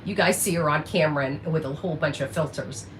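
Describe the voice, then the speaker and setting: A woman's voice: low-pitched, quick pace. A woman ranting casually in a blunt, defensive, profane manner on noisy livestream audio.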